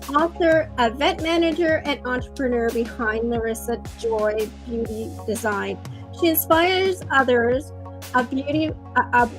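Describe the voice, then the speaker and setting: A woman hosting a livestream interview, bubbly and effusive, with frequent ums. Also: high voice. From Canada. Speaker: host.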